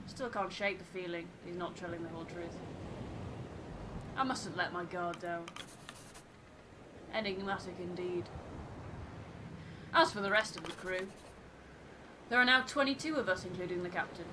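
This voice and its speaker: A woman voicing a thoughtful, wary first mate who narrates a ship's log in a measured, literary way for a game. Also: on the low side, background noise, close to an everyday voice. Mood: reflective, wary.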